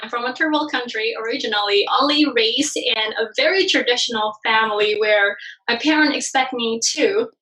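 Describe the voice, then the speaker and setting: A woman from Bali, Indonesia, in her thirties, speaks as a podcast guest. Her voice sits a little high and sounds bright and forward. She tells her story in a chatty, animated way and laughs often. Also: brisk, poor audio. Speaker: guest, branding expert. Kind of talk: casual podcast interview.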